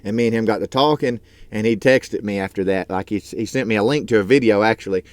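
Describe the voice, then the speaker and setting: A man's voice: on the low side, fast, dry recording. A man in his thirties talking casually to his vlog from his truck, earnest and rambling with lots of fillers. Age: 30s. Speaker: truck driver and YouTuber.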